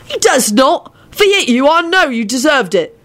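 A woman's voice: sits high, very animated, moderate pace, fairly bright tone, voice pushed up. A woman voicing a bossy, easily exasperated boy in a storybook, scolding emphatically. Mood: indignant.

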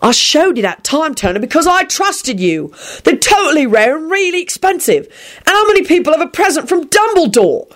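A woman's voice: high-pitched, sing-song, brisk, few pauses, fairly bright tone, pitched above the performer's own voice. A woman voicing an indignant, hot-headed young man in an audiobook. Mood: furious. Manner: demanding, indignant.

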